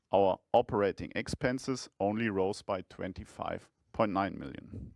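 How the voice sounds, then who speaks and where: Male CFO, mid-range voice, formal measured scripted delivery for an earnings call, dry recording. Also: medium pitch, no echo. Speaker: chief financial officer presenting results.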